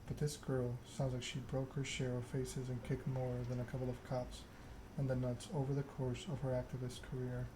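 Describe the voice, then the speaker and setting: A man narrating a story game aloud in ASMR style, reading in a measured way with occasional stumbles. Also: mid-range voice, flat delivery, noisy background.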